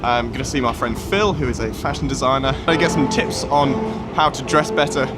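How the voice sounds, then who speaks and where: An upbeat young man talks straight into a phone held at arm's length while walking through a city. Loud music plays under his voice throughout. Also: medium pitch, a little fast. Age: young adult. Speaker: vlog host. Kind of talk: casual vlog chat. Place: outdoors on a city street and in a station.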